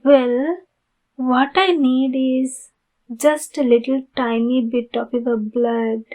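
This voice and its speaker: A woman playing a polite, pleading, youthful-looking vampire in an ASMR roleplay, speaking formally and courteously with many pauses. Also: high voice, fairly even intonation, mellow. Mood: courteous, hesitant. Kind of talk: ASMR roleplay addressed to the listener.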